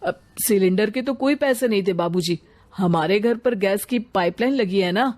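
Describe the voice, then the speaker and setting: A woman's voice: medium pitch, normal speed, a little mellow, lightly acted. A woman playing a put-upon young husband in a family cartoon, with a questioning manner. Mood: explaining.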